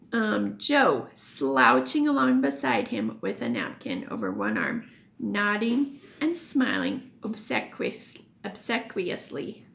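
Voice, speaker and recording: A woman teacher reading a children's story aloud expressively, pausing for emphasis and explaining things as she goes. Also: mid-range voice, huge pitch swings, vocal fry, a bit bright.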